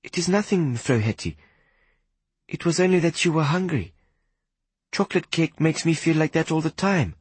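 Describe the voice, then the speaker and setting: A man's voice: on the high side, lively intonation, moderate pace, long pauses, husky, no room sound, pitched above the performer's own voice. A man reading an audiobook, voicing a polite, earnest young boy who apologizes and speaks formally.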